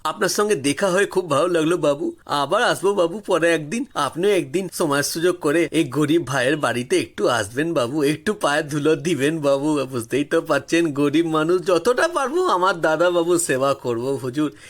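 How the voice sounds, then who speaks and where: A man's voice: fairly high pitch, expressive, normal speed. A man voicing a humble, eager rustic in his late fifties with a Hindi-tinged accent, fawning and repeating himself in a story. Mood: effusive, pleading. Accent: non-Bengali, Hindi-tinged Bengali. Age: 50s. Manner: obsequious, effusive, repetitive.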